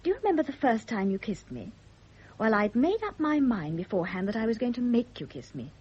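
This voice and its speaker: Breathy, besotted young British mistress. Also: female voice, fairly high pitch, big pitch swings, brisk. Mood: girlish, curious. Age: young adult. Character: besotted young mistress, girlish, impulsive, needy and jealous. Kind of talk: 1970s radio drama acting. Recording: muffled vintage radio drama recording.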